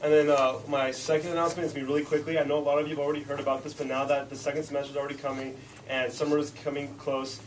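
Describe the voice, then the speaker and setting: A man's voice: on the high side, level tone, very fast. Congested young man, distant and noisy. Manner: rambling with many uh fillers. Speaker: club officer in charge of rotations. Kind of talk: casual club announcement. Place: classroom meeting. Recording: distant mic in a noisy room.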